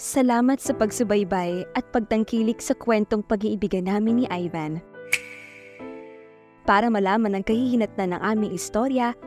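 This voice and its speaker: A woman reads a scripted promo for a radio drama as its leading lady. Her voice sits at a medium pitch, with light music behind it. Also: darkish tone.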